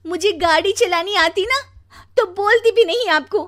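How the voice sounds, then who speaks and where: A woman's voice: very high-pitched, measured pace, a bit bright, pitched above the performer's own voice. A woman voicing a demanding young Indian wife in a cartoon story, with a whiny, pleading and scolding manner. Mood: nagging. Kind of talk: Hindi cartoon story dialogue.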